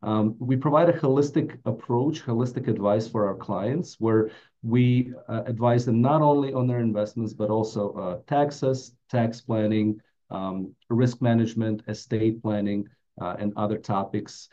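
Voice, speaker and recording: A middle-aged man with a mellow voice, giving a prepared webinar presentation as a financial advisor. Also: on the low side, unhurried. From Latvia. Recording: dull, muffled webinar audio.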